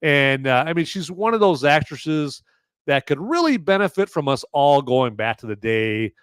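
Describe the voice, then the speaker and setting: A man's voice: on the high side, big pitch swings, normal speed, a bit bright, clean tone, no echo, clean studio sound. Rambling, jokey male podcast host. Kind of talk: live movie review chat.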